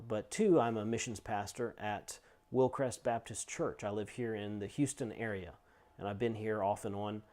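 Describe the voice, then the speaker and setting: A man's voice: fairly low pitch, a little mellow. A middle-aged man, a teacher and pastor, introducing himself to students in an informal, conversational, explanatory way. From United States and Scotland. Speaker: seminary teacher and missions pastor. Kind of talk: casual course introduction to students.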